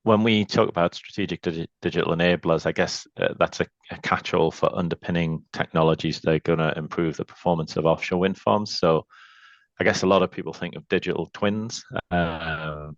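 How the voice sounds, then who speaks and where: Very deep flat-voiced man, slightly muffled. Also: brisk, no room sound. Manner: thoughtful, hedging with "I guess". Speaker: industry panelist. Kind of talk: answering a question on a video call. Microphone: video call.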